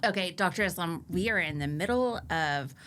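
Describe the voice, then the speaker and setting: A woman's voice: lowish voice, animated, normal speed, a bit bright. A woman hosting a casual health chat, asking friendly, short questions. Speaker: host.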